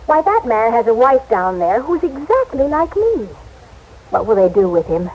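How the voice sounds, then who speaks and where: An actress playing a wondering, tender otherworldly wife in radio drama style. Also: female voice, on the high side, very animated, normal speed. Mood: wondering, sympathetic. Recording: dull, faintly hissy old radio recording.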